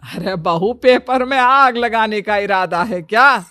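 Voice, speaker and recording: A woman's voice: on the high side, slow, bright, forceful tone, no room sound, studio quality, soft background music. A woman voicing an older, fond and easily amazed mother-in-law in a Hindi cartoon story, exclaiming and questioning. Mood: doubtful, surprised.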